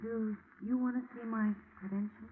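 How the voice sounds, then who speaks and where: A woman's voice: a little high, fairly even intonation, normal speed, a little hiss or hum, lightly acted. An actress playing a composed, fearless female agent with arch, dry wit in a 1940s radio drama. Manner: composed, arch, dryly sarcastic. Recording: tinny, band-limited vintage radio recording.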